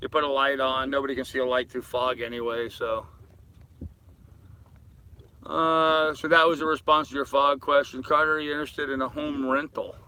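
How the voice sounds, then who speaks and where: A man's voice: medium pitch, fairly even intonation, moderate pace, bright, forceful tone, a little hiss or hum, poor audio. An older man hosting a casual live chat from a boat, rambling as he reads and answers comments and addresses viewers familiarly. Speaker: live-stream host. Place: aboard a boat at anchor.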